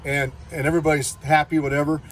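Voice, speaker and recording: A man's voice: a little high, level tone, fast talker, slight background noise. An American man from California telling a casual, rambling hobby story and acting out what people said. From California, United States. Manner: rambling, acts out quoted exchanges. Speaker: metal detecting hobbyist telling his story.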